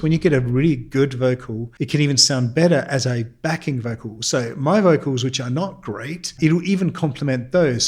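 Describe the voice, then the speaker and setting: A man presenting a music production tutorial in an informal, self-deprecating, explanatory way. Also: mid-range voice. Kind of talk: casual software tutorial.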